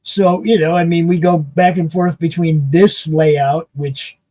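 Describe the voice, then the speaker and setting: High-pitched mellow man in his sixties. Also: steady tone, a little slow, no echo. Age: early 60s. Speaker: streaming network host. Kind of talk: casual panel chat.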